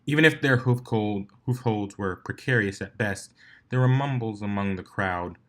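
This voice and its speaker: A man with a fairly low voice reads a story aloud. He delivers it as scripted narration, in the role of a fan fiction reader. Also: moderate pace, fairly bright tone.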